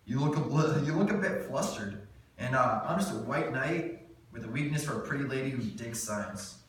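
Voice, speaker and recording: An actor reading a smug, teasing young grad student at a screenplay table read. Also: male voice, medium pitch, lively intonation, normal speed, far from the mic, natural voice. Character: cocky young male grad student James. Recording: echoey room, mic from afar, faint noise.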